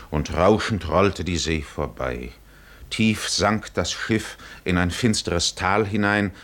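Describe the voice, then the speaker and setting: A man as the solemn, poetic narrator of a 1950s German radio drama, measured and dramatic. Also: low-pitched, a bit bright. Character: solemn poetic storyteller of a sea voyage.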